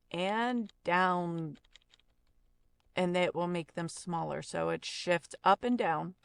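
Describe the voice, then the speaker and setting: Smooth-voiced woman giving a slow tutorial. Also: fairly low pitch, no echo. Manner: instructive, explains each step plainly. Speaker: tutorial instructor and voice actor. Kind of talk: casual step-by-step software tutorial narration.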